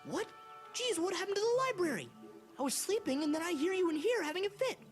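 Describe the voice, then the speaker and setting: A woman's voice: very high voice, big pitch swings, quick pace, soft background music. A woman voicing a lazy, grumpy little boy dragon in a cartoon, whiny and blunt. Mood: groggy annoyance. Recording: played over speakers in a panel room.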